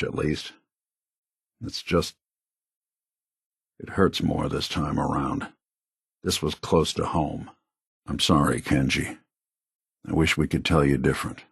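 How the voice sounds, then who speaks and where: Game role: middle-aged android private eye, low gravelly register, world-weary but kindly, dry wit, noir delivery. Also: male voice, no room sound, full character voice. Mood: somber. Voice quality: gravelly, husky. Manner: wry, hard-boiled, folksy old-time slang. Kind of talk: video game dialogue, film noir detective acting.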